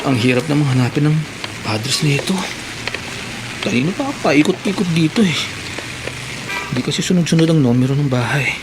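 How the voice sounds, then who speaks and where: An actor playing a humble, eager young errand worker and devoted son in a Tagalog radio drama. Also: male voice, a little high, animated, fairly quick, pauses often, noisy background, close to an everyday voice. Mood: weary, patient. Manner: deferential, earnest.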